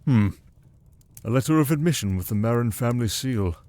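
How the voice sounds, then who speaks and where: A man's voice: mid-range voice, animated, a little fast, dark tone, lightly acted. A man playing a protective, exasperated retainer to a noble lady in a fantasy audio drama, formal and dutiful in speech. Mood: grave, formal.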